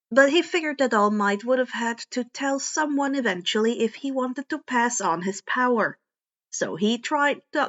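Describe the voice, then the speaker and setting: A woman with a highish voice reads a fan-made story in an expressive storytelling style. She occasionally trips on a word and carries on. The recording is a little dull and lo-fi. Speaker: podfic narrator. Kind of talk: expressive scripted fan fiction narration.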